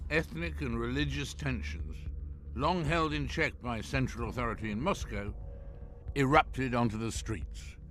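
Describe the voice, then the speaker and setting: A man narrating a documentary script in a measured, dramatic way and hosting the interview. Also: mid-range voice, fairly bright tone, a little hiss or hum. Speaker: host and narrator. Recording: narration over clear music.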